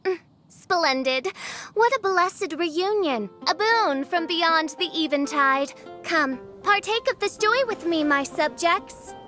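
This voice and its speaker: A grandiose young princess from an anime game. Her voice is extremely high and bright. She declaims like royalty, with archaic, dramatic pomp, over faint music. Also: expressive, takes it slowly, exaggerated voice. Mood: grand, joyful. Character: grandiose theatrical young princess holding court.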